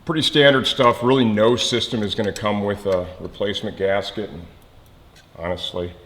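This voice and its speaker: A man with a fairly low voice walks through a mechanical job step by step in a casual, explanatory way. The room rings with long reverb, and metallic clinks and clatter are clearly heard around his speech. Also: fairly even intonation, moderate pace, noisy background. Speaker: instructor, motorcycle mechanic demonstrating an installation. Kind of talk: hands-on how-to tutorial, talking while working. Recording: reverberant workshop with loud tool clatter.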